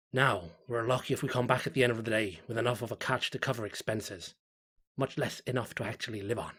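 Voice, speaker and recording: Audio drama: male actor as small-town fisherman, husky, drunk and bitter, swearing as he grumbles through a diary entry. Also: lowish voice, a bit bright. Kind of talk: first-person diary narration in a horror audio story.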